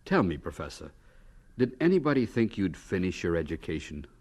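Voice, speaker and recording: A man narrating an old-time radio drama as announcer and formal interviewer, measured and dramatic. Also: low-pitched, big pitch swings, darkish tone. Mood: measured, inquiring.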